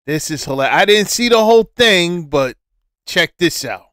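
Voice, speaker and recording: A man reacting to a video with slow, amused, halting asides. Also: high voice, expressive, a bit bright, no echo, clean studio sound. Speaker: reaction commentator. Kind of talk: casual reaction commentary.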